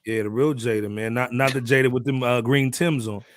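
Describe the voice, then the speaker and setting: A man's voice: medium pitch, steady tone, brisk, a little hiss or hum. A man co-hosting a podcast, talking casually in slang and punctuating his phrases with "man". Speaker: podcast co-host.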